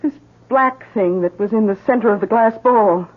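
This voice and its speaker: An actress playing an anxious, caring wife in 1970s radio drama style, earnest and hesitant. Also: female voice, mid-range voice, normal speed, close to an everyday voice. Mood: worried. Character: Sarah, anxious, caring wife of a troubled artist. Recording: dull 1970s radio recording.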